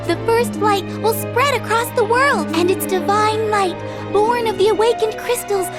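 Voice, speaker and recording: A woman voicing a tiny, excitable, bossy and bubbly fairy girl in a video game, with an emphatic delivery. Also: extremely high pitch, moderate pace, over loud music. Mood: earnest, explaining. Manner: exclamatory, emphatic.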